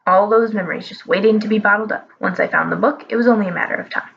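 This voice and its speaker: A woman's voice: medium pitch, fast talker, gravelly, a bit bright, highs cut off, slight background noise. A woman voicing a grumpy, pudgy old male wizard who grumbles and whines. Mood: complaining. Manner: grumbling, whiny.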